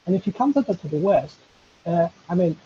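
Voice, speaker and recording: A man from Turkey, a professor speaking on a webinar panel in a halting way, with many fillers and restarts. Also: high voice, expressive, dark tone. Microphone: video call. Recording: dull lo-fi video call audio with faint hiss.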